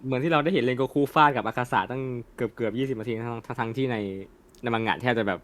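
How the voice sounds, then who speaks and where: A man's voice: medium pitch, fast, a bit bright. A young man talking casually and informally as co-host of an anime discussion. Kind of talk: casual post-film chat.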